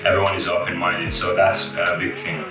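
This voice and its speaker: A young man from Iraq, a native Arabic speaker, chatting as a guest in a relaxed way with many fillers and restarts. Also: low voice, brisk. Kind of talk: casual interview chat. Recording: roomy echo, music behind. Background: guitar music.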